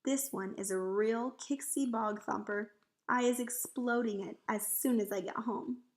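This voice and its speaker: A woman voicing a big, excitable but kindly male giant in a storybook, dramatic and exclamatory, with quaint mangled grammar. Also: a little high, unhurried, close to an everyday voice. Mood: grave warning.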